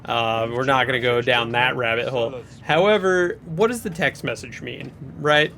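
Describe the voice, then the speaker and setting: A man's voice: mid-range voice, normal speed, pushed and bright. A man hosting a video, giving casual gaming commentary to viewers and repeating his points for emphasis. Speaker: video creator and host. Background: music.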